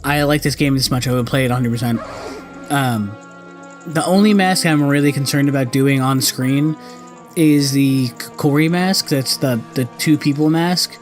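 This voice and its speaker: A man's voice: mid-range voice, normal speed, soft background music. A young man giving casual let's play commentary, rambling and often correcting himself as host. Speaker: gaming video host.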